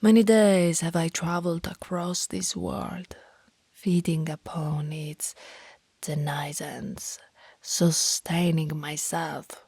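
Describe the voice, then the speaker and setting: An immortal vampire woman acted out by a female performer confides in slow, breathy whispers, tender and full of sorrow. Her voice sits fairly low, with archaic, formal phrasing. The sound is close and dry, in the manner of ASMR roleplay. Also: little pitch movement, darkish tone, no room sound, exaggerated voice. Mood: loving.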